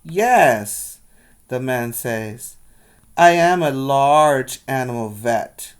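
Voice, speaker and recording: Man, preschool teacher, children's read-aloud, slow delivery, medium pitch, instructional, question-asking. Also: animated, smooth.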